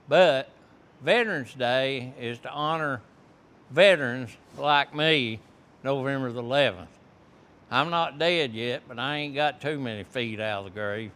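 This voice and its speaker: An older man talks to a high school class in a plain, folksy way. He speaks very slowly, and his voice is bright and clear. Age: 70s. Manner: plainspoken, folksy, unhurried storytelling. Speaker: veteran guest speaker. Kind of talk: classroom talk to students.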